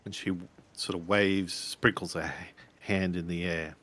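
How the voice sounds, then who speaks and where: A man improvising narration for a tabletop role-playing game, describing actions in a halting way. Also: low voice, steady tone, a bit bright.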